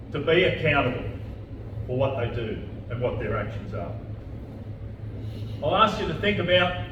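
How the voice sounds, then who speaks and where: A man giving an earnest campaign speech as a mayoral candidate, making his points in short, emphatic, repeated phrases. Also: fairly high pitch. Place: large echoey function room. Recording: echoey room, distant muffled mic, background noise.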